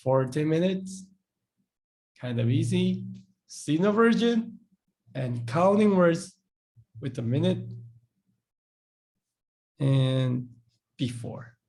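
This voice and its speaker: A man who speaks English, teaching in a live online class. He explains patiently, repeats words slowly and acts out short dialogues. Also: a little high, expressive, a little mellow, low-quality recording. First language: Korean. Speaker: Korean language teacher.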